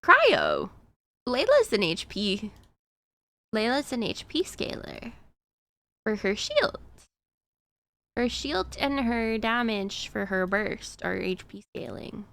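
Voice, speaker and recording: A woman's voice: fairly high pitch, wildly swooping pitch, takes it slowly, creaky, long pauses, a bit bright. A woman streamer chatting casually while she plays, answering her chat with plenty of fillers and little laughs.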